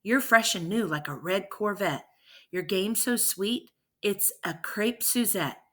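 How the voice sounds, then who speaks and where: A woman's voice: medium pitch, unhurried, bright, forceful tone. A woman voicing an affectionate, teasing mother in a storybook reading, with emphatic delivery.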